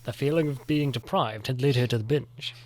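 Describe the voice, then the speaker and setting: A man's voice: medium pitch, a little fast. A man narrating a scripted story for a comic dub.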